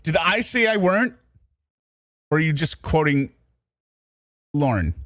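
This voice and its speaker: A man's voice: on the high side, sing-song, unhurried, a bit bright, no room sound, clean studio sound. A man hosting a casual livestream, reacting and commenting in a chatty, teasing, mock-exasperated way. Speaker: livestream host.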